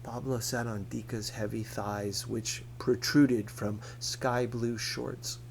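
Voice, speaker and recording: A man reading a novel aloud as scripted narration, in a measured, deliberate manner. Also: mid-range voice, little pitch movement, gravelly, slight background noise. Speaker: audiobook reader.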